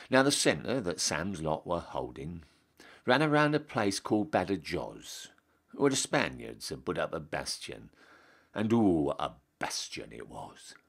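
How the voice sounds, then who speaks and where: A man's voice: sits low, gravelly, slightly rough. A man with a Northern English accent giving a theatrical, storytelling recitation of a comic dialect monologue. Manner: dramatic, storytelling delivery. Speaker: reciter, town crier.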